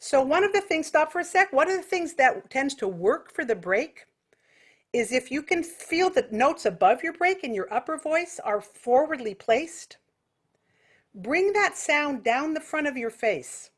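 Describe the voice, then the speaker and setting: A woman's voice: high-pitched, very animated, unhurried. A woman giving an informal singing lesson as a vocal technique teacher, explaining things with vivid imagery.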